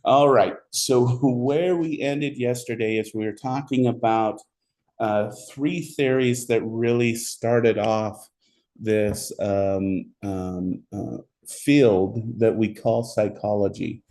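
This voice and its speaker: A man giving a psychology lecture, rambling with many fillers and restarts, his voice squeaky today. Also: steady tone, takes it slowly, dark tone. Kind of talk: classroom lecture. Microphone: recorded for online video.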